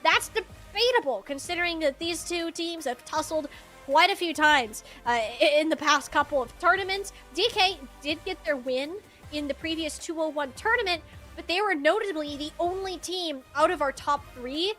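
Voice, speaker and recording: High, bright-voiced woman esports caster. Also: normal speed. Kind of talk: live esports match preview commentary. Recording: music playing behind. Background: background music.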